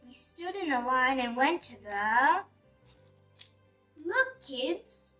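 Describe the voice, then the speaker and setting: A woman reading a picture book aloud for young children in an animated, deliberate storytelling manner. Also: high-pitched. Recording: distant mic with music behind. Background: music.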